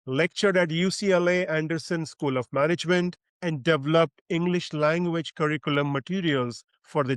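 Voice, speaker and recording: An effusive male podcast host with a slightly high-pitched voice gives a formal welcome. His delivery is full of praise and "uh" fillers. The sound is dry and close, with no room echo. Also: smooth. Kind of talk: podcast interview, reading a guest introduction.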